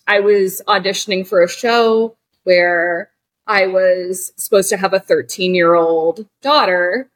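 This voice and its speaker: A woman's voice: medium pitch, unhurried. A woman of forty, a guest on a podcast, talking candidly as an actor and director, searching for words with many fillers and restarts. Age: 40s.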